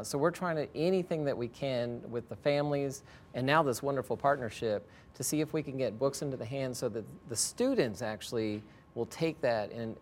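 Guy educator in an interview, plain talker, average pitch, low rumble faintly behind. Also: a little mellow. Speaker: school educator, interview guest. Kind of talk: explanatory interview answers.

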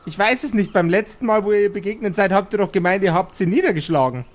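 A man's voice: high voice, fast talker, natural voice. A man voicing a doting, fussing dwarf mother who talks affectionately to her boys in an improvised audio play. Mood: worried, fussing. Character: doting dwarf mother, folksy, feeding her three grown sons.

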